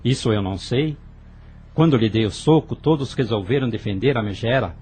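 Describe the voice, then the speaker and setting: A man's voice: mid-range voice, lively intonation, fairly quick, darkish tone, breathy edge. A man voicing a young brother in an audio drama, earnest and hesitant as he confesses. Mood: tense. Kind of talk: radio drama acting.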